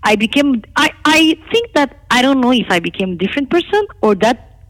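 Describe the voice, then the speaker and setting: A middle-aged woman from Lebanon, a native Armenian speaker, talking candidly and emphatically about her own life as an interview guest, with a creaky voice. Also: sits high, very animated, a little fast, fairly bright tone, rough recording. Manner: outspoken, emphatic.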